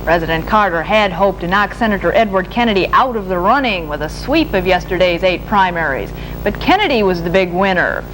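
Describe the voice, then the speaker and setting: A woman's voice: lowish voice, animated, a bit bright. American woman news anchor, noisy old tape. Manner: formal, measured newscast delivery. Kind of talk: scripted news reading. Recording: noisy archival 1970s broadcast tape, humming.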